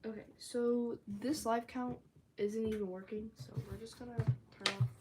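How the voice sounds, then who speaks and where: A teenage girl chatting on her own livestream, rambling loosely with lots of "like" and now and then dropping into a soft whisper. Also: on the high side, steady tone, a little slow, noisy background. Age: teens. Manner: rambling, chatty, filler-heavy talk with frequent "like". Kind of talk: casual livestream chat. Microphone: phone or tablet.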